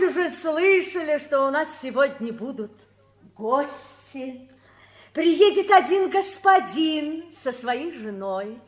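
Very high-voiced strict orphanage matron. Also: female voice, roomy sound, exaggerated voice. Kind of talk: 1960s Soviet radio play acting.

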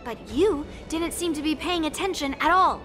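A woman's voice: high-pitched, normal speed, a bit bright, soft background music. A woman voicing a cheerful, playful young woman adventurer in a game, chatting in a teasing way.

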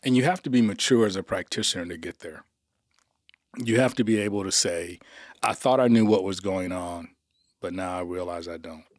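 Low-voiced middle aged host guy, lots of mm-hmms, dry studio sound. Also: normal speed, no echo. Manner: affirming, frequent murmured agreement. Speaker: podcast host, district official. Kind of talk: interview hosting. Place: podcast studio room. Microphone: studio boom mic.

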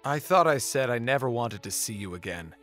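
A woman's voice: very deep voice, expressive, a little fast, faint music behind. A woman playing a young merman prince in an audio drama, defiant and hurt. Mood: bitter, defiant.

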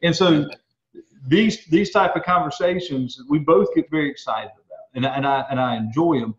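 A man co-hosting a casual chat, with a slightly high voice. He rambles through his stories with animated restarts. The recording is roomy and dull, with a lo-fi edge. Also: moderate pace. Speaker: co-host.

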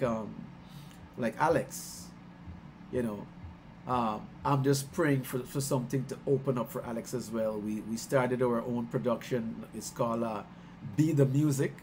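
A middle-aged man from Guyana, a musician talking casually on a video call, warm and chatty, laughing often and getting excited as he tells stories. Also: mid-range voice, a little hiss or hum. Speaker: musician hosting his album release stream. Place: small room at home. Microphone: headset on a video call.

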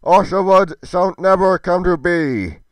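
A man's voice: high voice, a little slow, no echo, pitched above the performer's own voice. A man voicing an arrogant, wrathful half-giant fire demigod in a game roleplay, declaiming in pompous archaic speech. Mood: grandiose menace. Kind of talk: theatrical villain monologue in amateur game roleplay.

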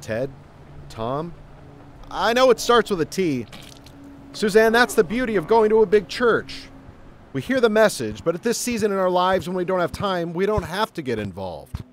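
An actor playing an easygoing suburban dad in a family audio drama. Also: male voice, high-pitched, sing-song, normal speed, a little hiss or hum.

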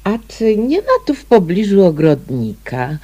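An actress playing a polite, inquisitive amateur sleuth in a radio mystery, probing courteously with small hesitations. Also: female voice, on the low side, sing-song, unhurried, dark tone. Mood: politely probing. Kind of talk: radio mystery drama acting.

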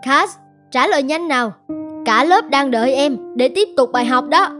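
A woman playing a stern, middle-aged schoolteacher in a cartoon, brisk and admonishing. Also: high voice, expressive, music in the background. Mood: demanding. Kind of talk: animated cartoon dubbing in Vietnamese.

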